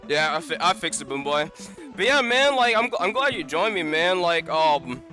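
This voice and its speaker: A man's voice: on the high side, very animated, normal speed, pushed and bright, soft background music. A man doing casual live-stream commentary over gameplay, rambling with lots of fillers and restarts. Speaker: gaming streamer.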